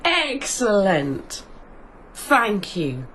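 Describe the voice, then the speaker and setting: Shrewd farm widow, radio drama, mocking, bossy, very animated pitch, faint background noise. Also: female voice, drawn-out words, exaggerated voice. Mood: brisk, bossy. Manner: mocking, forthright, laughs as she talks.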